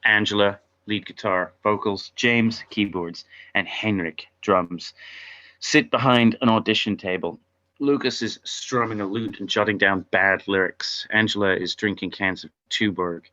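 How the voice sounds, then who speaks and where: A man reads out stage directions as narrator of a script read-through. His voice is fairly low yet forceful and bright, captured in slightly dull, lo-fi sound. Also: fairly even intonation, a little slow.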